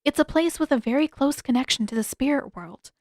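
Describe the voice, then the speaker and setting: A woman's voice: sits high, animated, a little fast, a little mellow, no room sound, clean studio sound, pitched above the performer's own voice. A woman voicing a thoughtful, courteous teenage princess who speaks carefully and hesitantly in a story reading. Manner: careful, hesitant, polite phrasing.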